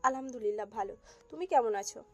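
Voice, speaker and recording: A sensible young married woman, played by a woman, speaks politely and matter-of-factly in a fairly high voice. It is an audio drama with music behind. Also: quick pace, a little mellow.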